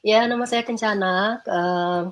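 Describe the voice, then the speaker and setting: A woman's voice: mid-range voice, slow. A woman from Jakarta, a guest introducing herself briefly with hesitations. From Jakarta, Indonesia.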